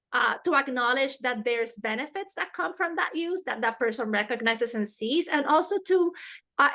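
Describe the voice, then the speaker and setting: Bright-voiced woman with a Spanish accent. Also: on the high side. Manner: earnest, repeats key words for emphasis. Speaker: webinar presenter. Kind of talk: teaching a professional webinar. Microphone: video call.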